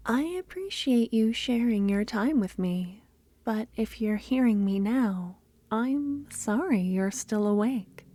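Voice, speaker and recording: A woman hosting an ASMR channel, speaking to listeners in a gentle, hushed, soft-spoken way. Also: mid-range voice. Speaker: ASMR storyteller and channel host.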